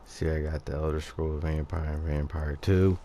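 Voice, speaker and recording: A man giving casual gameplay commentary as a video host, low-key and halting as he thinks aloud. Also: level tone, dark tone, a little hiss or hum.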